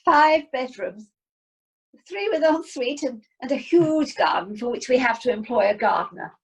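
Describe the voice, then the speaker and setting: A woman's voice: high voice, animated, moderate pace, vocal fry, breathy edge, heavily acted, poor audio. A woman playing a posh, snobbish English wife, genteel and boastful, in an amateur radio comedy. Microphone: video call.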